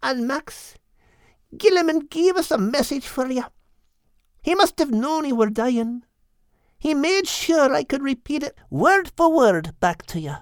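A small-sounding, high-voiced animal friend from an audiobook. He speaks earnestly and tenderly, with measured solemnity, in a dry, close sound. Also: sing-song, no room sound, heavily acted. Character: gentle male animal friend solemnly relaying dying words. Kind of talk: audiobook character voice.

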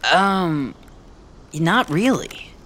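A man's voice: high voice, lively intonation, drawn-out words, sharp tone, heavily acted. A man playing a nervous, chatty young American bluffing as a thief in a fiction podcast, rambling and stammering as he tries to please. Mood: awkward. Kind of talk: fiction podcast acting, casual modern speech.

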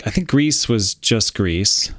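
Low, lively-pitched man chatting. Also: takes it slowly, no echo, studio quality. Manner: laughing, lively anecdotes. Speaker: podcast co-host. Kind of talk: casual chat.

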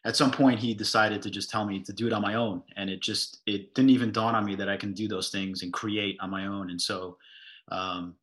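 A man speaking as an artist on an online panel, relaxed with frequent fillers, over a video call. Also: fairly low pitch, fairly quick. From United States. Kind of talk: casual panel talk.

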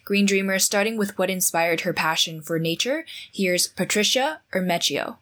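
A woman's voice: mid-range voice, moderate pace, fairly bright tone. A woman podcast host with an upbeat, enthusiastic delivery, introducing the show and asking interview questions.

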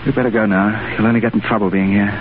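An actor playing an idealistic, stubborn and wry English diplomat in a 1930s radio drama. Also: male voice, lowish voice, fairly even intonation, fast, natural voice. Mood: stunned, defiant. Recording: noisy, narrow-band 1930s radio broadcast.

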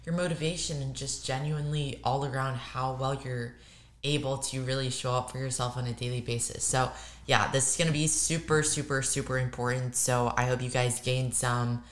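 A young woman co-hosting a casual podcast, hoarse and raspy from losing her voice, emphatic and repeating words for stress. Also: very deep voice, fairly even intonation, moderate pace, roomy sound. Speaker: podcast co-host.

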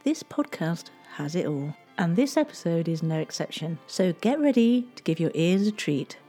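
A woman narrates a history podcast in a low, mellow voice. Her intonation moves a lot as she tells each story in a measured, scripted way. Faint music plays underneath. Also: big pitch swings.

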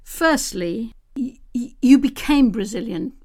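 A woman's voice: on the high side, lively intonation, slow pace, a little mellow. A woman hosting an interview, asking questions in a chatty way that keeps interrupting itself. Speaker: podcast host.

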